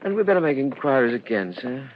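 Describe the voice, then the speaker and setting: Man playing a brisk police inspector. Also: mid-range voice, very animated, lightly acted. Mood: businesslike. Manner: brisk, no-nonsense. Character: gruff, skeptical male police inspector, bossy with subordinates. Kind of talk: radio crime drama acting. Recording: dull recording with faint noise.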